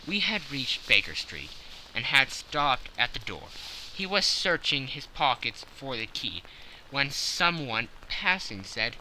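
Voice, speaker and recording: Bright-voiced man narrating, very expressive. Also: medium pitch, slow pace, a little hiss or hum, low-quality recording. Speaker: audiobook narrator. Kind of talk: dramatic scripted narration of a classic detective story.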